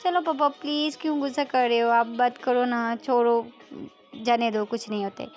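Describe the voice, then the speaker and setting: A woman's voice: a little high, steady tone, normal speed, a little mellow, lo-fi sound, faint music behind, natural voice. A woman voicing a young female student in a told story, insistent and repetitive, a daughter who can be feisty. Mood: placating. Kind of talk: amateur acted dialogue in a narrated story.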